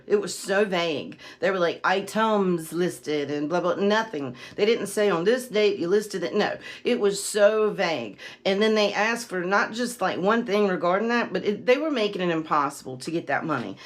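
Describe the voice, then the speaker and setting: A woman from the United States giving casual vlog-style advice and storytelling as an online reseller, plain-spoken, emphatic and aggrieved. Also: lowish voice. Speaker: online reseller and video creator.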